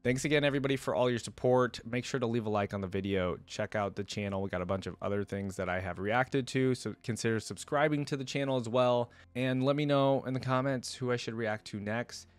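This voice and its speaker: A man's voice: mid-range voice, fairly quick, few pauses, no echo. A man hosting a reaction video, commenting casually and speaking to viewers.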